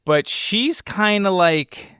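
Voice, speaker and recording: A man co-hosting a podcast, talking through a film in a casual, halting, excitable way. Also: high-pitched, smooth, dry recording, studio quality. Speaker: podcast co-host.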